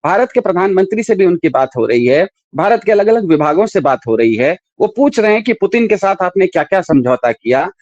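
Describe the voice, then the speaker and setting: Higher-voiced man giving political commentary. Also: a little mellow, no room sound, highs cut off. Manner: explanatory, repeats points for emphasis.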